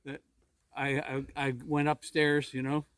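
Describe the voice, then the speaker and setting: A man in his seventies from New Jersey telling his life story in a casual interview, halting and repeating words with little chuckles. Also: a little high, completely flat delivery, fairly bright tone, clean tone, no room sound, clean studio sound. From New Jersey, USA. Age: 70s. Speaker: interviewee, retired pilot.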